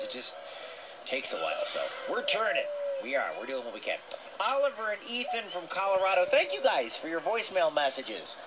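A man hosting a radio show in casual chat. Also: mid-range voice. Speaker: radio host. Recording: noisy radio playback off a speaker.

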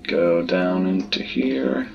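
A man giving casual, low-key let's play commentary, muttering in short phrases. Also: slow, vocal fry, highs cut off, noisy background. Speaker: gaming video host.

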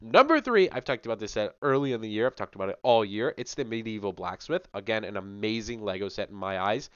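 A man's voice: on the low side, expressive, fast talker, a bit bright, clear, resonant tone, no room sound, dull audio. A young man hosting a casual rundown to camera, chatty and enthusiastic, a little sick, talking into a headset mic in a small home room. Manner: chatty, filler-heavy, enthusiastic. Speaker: channel host.

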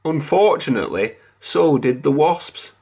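A storyteller reading a picture book to young children. The delivery is unhurried and expressive, with animated pitch and a slightly husky tone.

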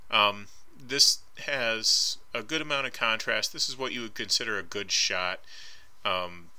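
A man with a very bright, forceful voice gives an art lecture over slides. He talks casually and hedges often, filling pauses with ums, and sits on the lower side in pitch. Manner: casual and hedging, frequent ums. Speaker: art instructor. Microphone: voice-over recorded over slides.

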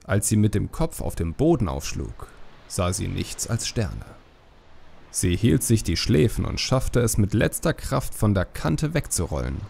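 Creaky-voiced man narrating, lively intonation. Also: on the low side, unhurried. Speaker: audiobook narrator. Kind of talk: dramatic scripted fantasy narration.

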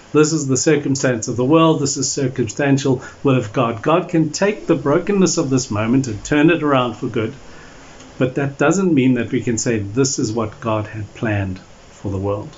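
Man, pastor, sermon to camera, halting measured delivery, dull lo-fi sound, faint background noise. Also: mid-range voice. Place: small indoor room at home or office.

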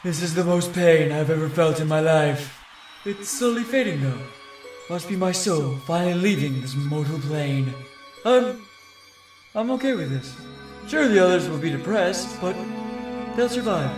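A man's voice: fairly high pitch, lively intonation, normal speed, close to an everyday voice. A man voicing a grumpy old cartoon athlete, sarcastic and sweary, in a distant, echoey recording with music. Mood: resigned, bitter.

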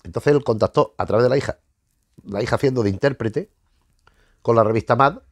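A man's voice: medium pitch, a little fast, no echo. A middle-aged man with a Spain Spanish accent, explaining informally to camera in a rambling, conversational way, often circling back to rephrase. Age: middle-aged, 50s. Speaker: host of a retro comics channel. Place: small indoor room lined with bookshelves.

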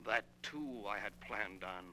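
A man in a 1940s radio drama playing a coldly vengeful man, speaking deliberately and with menace. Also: fairly low pitch, pushed and bright. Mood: cold, menacing. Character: vengeful dead man coldly reading out his plan. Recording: noisy, humming old radio recording.

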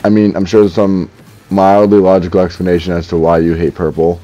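A young man with a deep, dark-toned voice talks casually through a headset while gaming in his room. He is dry and mildly sarcastic, with frequent stammering restarts, and the audio sounds slightly dull and lo-fi. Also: little pitch movement, a little fast. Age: young adult. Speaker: gaming YouTuber reading comments. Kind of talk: casual commentary while playing.

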